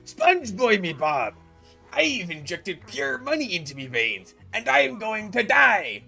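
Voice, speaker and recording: A man voicing a panicked, greedy old crab boss in a high, strained cartoon shriek. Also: big pitch swings, takes it slowly, creaky, pushed and bright, soft background music, full character voice. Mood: dying wail.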